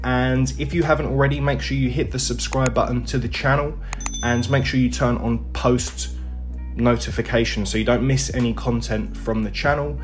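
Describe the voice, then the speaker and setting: A man's voice: mid-range voice, fairly even intonation, moderate pace. Man hosting over guitar music. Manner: addresses viewers directly. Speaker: channel host. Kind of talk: scripted video introduction. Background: plucked guitar music.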